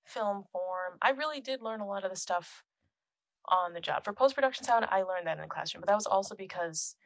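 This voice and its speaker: A young woman with a naturally soft, quiet voice, talking with a guest's easy chattiness on a close microphone. She laughs often and leans on "like" as she talks. The sound is dry, with no room around it. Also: mid-range voice, lively intonation, brisk, no echo. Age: young adult. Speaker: guest, film composer and musician. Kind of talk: casual podcast chat. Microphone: close podcast microphone.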